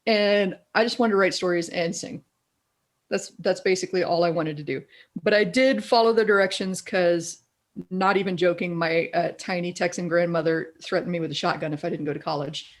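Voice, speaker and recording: A middle-aged woman with a deeper, raspy voice, a guest in a casual interview who tells animated stories and laughs often. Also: brisk. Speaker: guest, copywriter.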